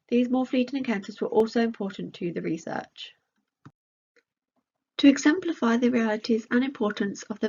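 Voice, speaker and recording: A woman's voice: on the high side, a little mellow, husky, dull audio. A woman reading out a scripted academic conference presentation as a researcher.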